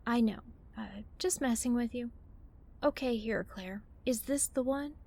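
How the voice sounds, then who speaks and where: A wry young woman chats in a slightly high voice. She is offhand and teasing, with the informal delivery of a conversational radio play. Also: measured pace, creaky, a little mellow. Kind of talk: casual conversational audio drama acting.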